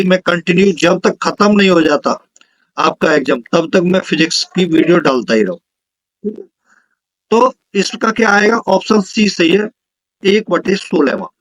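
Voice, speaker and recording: A man teaches in a high-pitched voice, taking exam questions one at a time. He is instructive and repeats each question and answer for the learners. The recording is close and dry, with very little room sound. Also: fairly bright tone, no echo, low-quality recording. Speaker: physics teacher for exam preparation.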